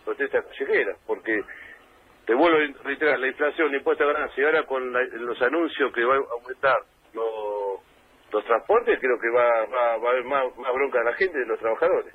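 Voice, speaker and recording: A man's voice: mid-range voice, fairly quick, slightly rough. A man with an Argentine accent giving analysis as an interviewee on the radio. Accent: Argentine Spanish. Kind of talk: spoken radio commentary. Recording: tinny phone-like radio line.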